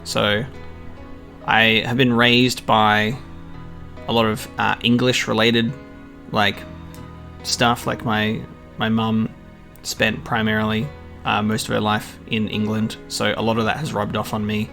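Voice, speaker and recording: A man's voice: lowish voice, completely flat delivery, measured pace, creaky, pauses often, pushed and bright. A man in his twenties with an Australian accent chats casually to his channel's subscribers in an earnest, hesitant way. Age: 20s. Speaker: YouTube gaming channel creator. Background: music with guitar.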